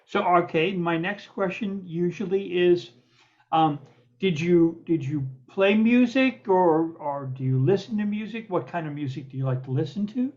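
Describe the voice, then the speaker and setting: A man hosting an interview, asking friendly questions, repeating words and pausing with fillers. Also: high-pitched, darkish tone. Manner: halting, repeats words and fills with uh. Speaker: podcast host and interviewer. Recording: muffled lo-fi audio.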